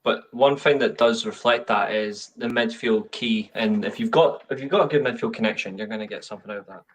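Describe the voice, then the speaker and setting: A man's voice: on the low side, a little fast, distant mic. A man co-hosting a football watchalong, bantering and swearing in casual chat. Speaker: watchalong co-host.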